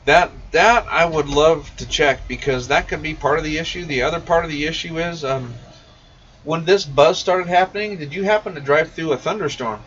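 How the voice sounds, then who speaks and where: A man's voice: fairly high pitch, moderate pace, fairly bright tone. A man chatting casually on a live stream as an informal, enthusiastic radio shop technician. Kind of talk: casual live stream chat, answering questions. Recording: muffled, distant mic with faint noise.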